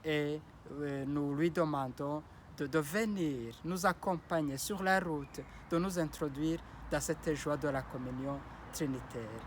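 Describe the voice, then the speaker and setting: A man's voice: a little high, fairly even intonation, measured pace, a little hiss or hum. A priest whose first language is Kinyarwanda or Kirundi gives a solemn Sunday homily and repeats his blessing phrases. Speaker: parish priest.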